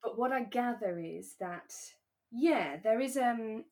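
A woman co-hosting a relaxed conversational podcast, talking in a candid, reflective and careful way. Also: on the high side, takes it slowly. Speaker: podcast co-host.

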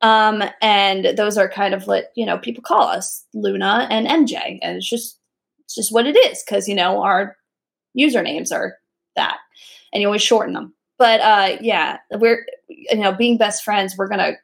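Chatty young woman with a gravelly edge. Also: medium pitch, normal speed. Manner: chatty, laughs often, many fillers. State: pregnant. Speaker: podcast co-host. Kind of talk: casual chat.

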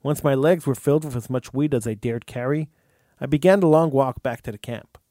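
A man, the host, reading a true story aloud in an earnest, measured way, with an occasional stumble over words. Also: medium pitch, mellow, no echo, studio quality. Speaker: radio host.

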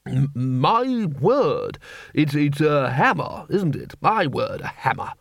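A man's voice: on the high side, very animated, slow pace, vocal fry, a bit bright, dry recording, studio quality, full character voice. A man voicing a flustered old wizard lecturer, stammering and oozing false innocence in an audiobook. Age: older.

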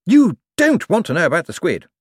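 A jittery wizard bureaucrat, voiced by a male audiobook reader, who squeaks well above his normal register. His flustered, over-explaining voice leaps around wildly in pitch. The close recording is bone-dry. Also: wildly swooping pitch, fairly quick, husky, no echo, full character voice. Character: nervous, evasive male wizard bureaucrat, middle-aged. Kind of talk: audiobook character voice.

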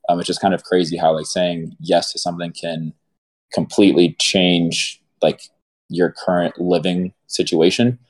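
A man's voice: low-pitched, flat delivery, moderate pace. A man in his mid-twenties chatting as a podcast co-host, hesitant with many fillers. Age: 20s. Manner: hesitant, lots of likes and ums. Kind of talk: casual chat.

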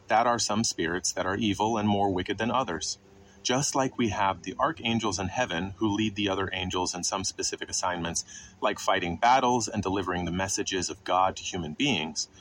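A man with a fairly low voice delivers a scripted scripture lesson. He is didactic, setting out questions and answering them himself. There is clear background noise behind his voice. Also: fairly bright tone, rough recording. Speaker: Bible teacher narrating a podcast.

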